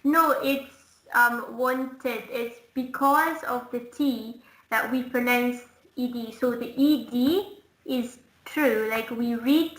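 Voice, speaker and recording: A woman's voice: a little high, slow, fairly bright tone. A woman from Scotland teaching English in a one-to-one online lesson, explaining patiently and demonstrating sounds. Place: indoors, online lesson call. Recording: roomy, echoing online call audio.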